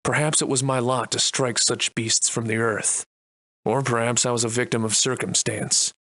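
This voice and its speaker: An actor playing a wry, defiant Victorian-era adventurer and monster slayer in a video game, soliloquizing in florid, old-fashioned diction. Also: male voice, mid-range voice, steady tone, moderate pace, fairly bright tone, no echo, lightly acted. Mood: grave, reflective. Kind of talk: theatrical video game voice lines in archaic English.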